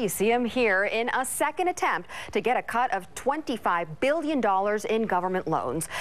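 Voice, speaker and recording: An American woman working as a TV news anchor, delivering scripted morning news, weather and sports with brief ad-libs. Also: medium pitch.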